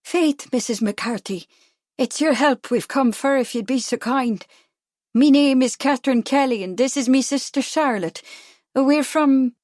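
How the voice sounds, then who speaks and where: A female reader plays a young Irish woman in a storybook. She speaks high and courteously in a broad brogue, coaxing yet set on getting answers. The recording is dry. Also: unhurried, a little mellow, no room sound. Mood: polite, coaxing. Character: polite, determined young Irish woman making inquiries.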